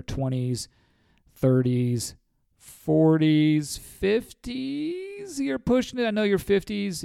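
A man's voice: mid-range voice, a little mellow, clean tone, dry recording. A man in his forties with a cold, a financial advisor explaining retirement savings on a podcast in a folksy way, often calling listeners folks. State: has a cold.

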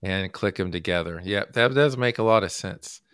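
A man from the United States hosting a casual podcast interview, halting with many fillers and restarts. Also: sits low, fairly bright tone, clean tone, no echo, studio quality. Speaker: podcast host.

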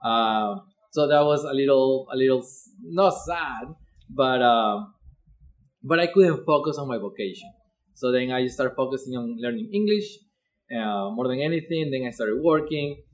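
Earnest Ecuadorian man, halting. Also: mid-range voice, expressive. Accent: Ecuadorian Spanish. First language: Spanish. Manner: earnest, halting with fillers and repeated words. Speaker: seminarian. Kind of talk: personal vocation story.

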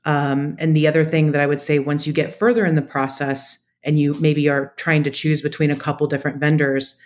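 A woman's voice: low-pitched, level tone, brisk. A woman speaking as an interview guest, giving conversational, practical advice in a methodical, explanatory way. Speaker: podcast guest, data practitioner.

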